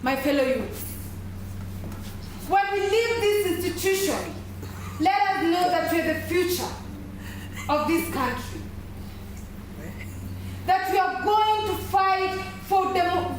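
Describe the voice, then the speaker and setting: A woman's voice: very high-pitched, background noise, full character voice. A woman playing an earnest student orator in a stage play, rallying young people with emphatic, declamatory speech. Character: earnest young student orator urging fellow youths to fight corruption. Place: stage play in an echoing hall. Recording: echoey hall from far off, music behind.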